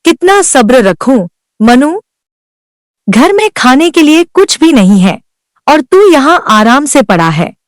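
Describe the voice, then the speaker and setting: A woman's voice: sits high, sing-song, slow, dry recording, studio quality, exaggerated voice. A woman voicing Sunita, a worn-down young village wife who pleads earnestly for help in a cartoon. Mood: frustrated. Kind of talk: Hindi cartoon voice acting.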